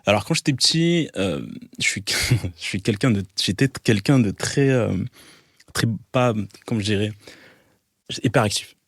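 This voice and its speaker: A man's voice: lowish voice, a little slow, a bit bright, no room sound, studio quality. A man in his thirties from Algeria, a guest photographer talking in a casual interview, hesitant with many fillers and restarts.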